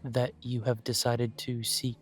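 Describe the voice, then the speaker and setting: A man voicing a courteous elven coffee shop owner who speaks in a polite, formal, gently self-deprecating way, with soft music playing behind him. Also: mid-range voice, level tone, unhurried, lightly acted. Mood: gracious. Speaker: shop owner interviewing a job applicant. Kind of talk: scripted one-sided roleplay audio.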